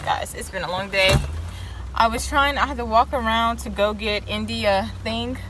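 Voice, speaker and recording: A woman's voice: on the high side, steady tone, normal speed. Young woman vlogger, chatty and rambling, phone-cam sound in a noisy van. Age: young adult. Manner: rambling, chatty, talks to viewers. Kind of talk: casual vlog. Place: inside a parked van on a rainy day. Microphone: handheld phone camera.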